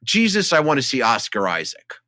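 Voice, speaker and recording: Guy on a movie podcast, super animated and emphatic, bright voice, dry close mic. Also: medium pitch, a little fast, no room sound. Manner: enthusiastic, emphatic, conversational. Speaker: film podcast co-host. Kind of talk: casual film discussion. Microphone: studio microphone, close.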